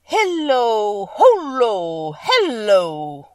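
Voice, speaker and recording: A woman voicing a wicked, commanding witch in a storybook, loud, emphatic and imperious. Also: a little high, wildly swooping pitch, very slow, no room sound, studio quality, far from the mic, voice pushed up, exaggerated voice. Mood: chanting. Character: wicked witch, angry, commanding, chanting spells. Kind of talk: storybook character voice.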